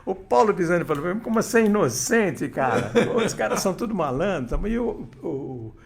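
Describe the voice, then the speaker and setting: A man's voice: high voice, big pitch swings, moderate pace, vocal fry. An older man, an interview guest telling radio anecdotes with many restarts and quoted dialogue. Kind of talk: casual storytelling interview.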